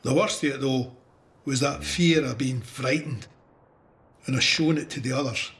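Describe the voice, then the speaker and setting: A man's voice: mid-range voice, moderate pace, fairly bright tone, natural voice. A man playing a young Scottish soldier who recounts trench warfare in a candid, wry, conversational way for an audio drama. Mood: uneasy, reflective. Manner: conversational storytelling. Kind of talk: first-person audio drama narration.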